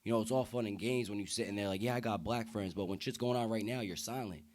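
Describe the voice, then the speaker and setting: Young guy from the us, deep voice, lots of street slang, real earnest casual podcast talk. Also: fast talker, a little mellow. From United States. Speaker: podcast guest. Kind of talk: heartfelt casual conversation.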